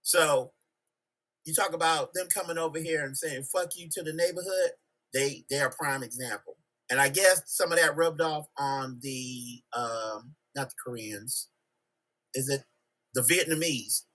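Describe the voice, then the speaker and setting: A man's voice: a little high, measured pace, bright, forceful tone. An American man making emphatic points in a casual group discussion, often checking for agreement. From United States, Chicago. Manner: emphatic, seeks agreement with tag questions. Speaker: podcast discussion participant.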